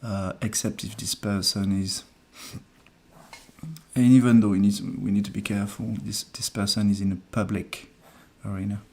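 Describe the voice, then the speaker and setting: A man hosting a casual live numerology stream, soft-spoken and hesitant as he thinks aloud. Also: lowish voice, level tone, moderate pace, husky. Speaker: numerologist host.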